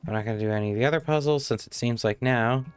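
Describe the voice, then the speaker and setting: A man's voice: mid-range voice, very fast, smooth, no room sound. A man giving casual, offhand gameplay commentary as a live streamer, muttering his reactions. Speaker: live streamer playing a game. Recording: faint game music behind. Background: game music.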